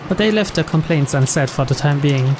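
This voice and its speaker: A man narrates story text from a visual novel, reading it aloud. His voice sits a little higher than most men's. Clear background noise runs behind him. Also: lively intonation, brisk. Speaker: let's player reading game text aloud.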